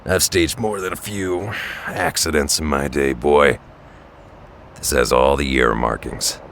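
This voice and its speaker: A man playing a very deep, gravelly tough-guy hero. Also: fairly even intonation, unhurried, fairly bright tone, a little hiss or hum, heavily acted. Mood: grim, gruff. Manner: blunt, growling, street-tough. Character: gruff, tough, cynical middle-aged mutant brawler hero. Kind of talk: audio drama acting.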